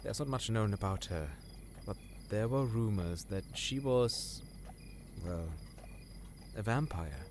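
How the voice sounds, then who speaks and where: A man's voice: fairly low pitch, slow, darkish tone, slight background noise. A man playing a polite, earnest young scholar in a fantasy audio drama, speaking formally and a little hesitantly. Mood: earnest, informative.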